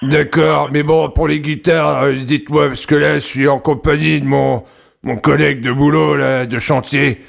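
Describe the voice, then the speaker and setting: A man puts on an earnest building-site worker on a prank call. His voice is very gravelly and sits a little high, and he rambles hesitantly and pesters. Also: flat delivery, moderate pace. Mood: earnest, rambling. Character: earnest rambling male construction worker starting a bar rock band. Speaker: prank caller. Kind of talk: improvised prank phone call.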